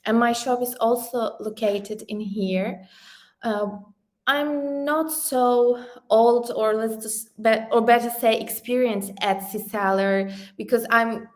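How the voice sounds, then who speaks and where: A woman from Ukraine presenting an online workshop over a video call, speaking slowly with many fillers and restarts. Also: fairly high pitch, fairly even intonation.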